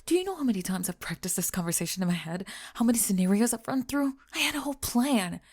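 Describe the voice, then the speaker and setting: A woman voicing a young goth bully girl in an ASMR roleplay, halting and defensive as she hides a crush. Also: medium pitch, big pitch swings, a little mellow. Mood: flustered, exasperated. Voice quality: breathy. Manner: tsundere, defensive, halting, backtracking.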